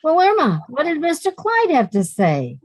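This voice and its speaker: Lady playing fed-up sensible young gal, sarcastic, pitch goes up and down a lot, dry sound. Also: huge pitch swings, moderate pace, dry recording. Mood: exasperated. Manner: exasperated, sarcastic. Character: sensible, exasperated young working woman. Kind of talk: 1950s radio sitcom acting.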